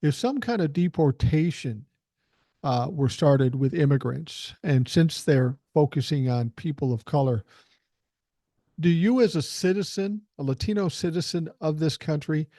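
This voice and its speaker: Older male host, measured questions, mellow tone, a bit high, dry sound. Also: no room sound. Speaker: podcast host. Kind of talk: casual interview chat.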